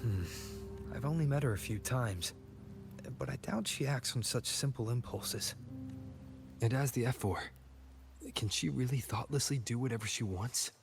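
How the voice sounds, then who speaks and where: A man's voice: medium pitch, normal speed, creaky, long pauses, lightly acted. A man voicing a wary, thoughtful young gladiator in a video game, pensive and questioning. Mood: doubtful. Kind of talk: video game dialogue acting. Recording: loud game music behind.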